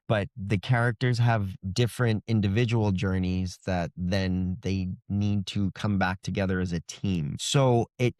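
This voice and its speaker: A man's voice: fairly low pitch, darkish tone, dry recording, studio quality. A man speaking conversationally as an author in an interview, explaining how he writes. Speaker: author being interviewed about his book.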